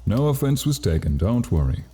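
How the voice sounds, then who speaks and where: A man voices a courteous demon prince in an audio drama. His voice is low and mellow, and it swings widely in pitch as he speaks with earnest formality. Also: sing-song, normal speed. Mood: formal, polite.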